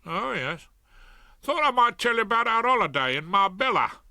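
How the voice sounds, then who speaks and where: A man playing a cheerful old South London villain, chatty and ungrammatical, with a working-class accent. His voice is bright and forceful, and it rises and falls a lot. Recorded close and dry. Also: high voice, very animated, moderate pace, dry recording, voice pushed up, full character voice. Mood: confiding. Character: cheerful old petty-criminal grandfather. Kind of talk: audiobook character voice.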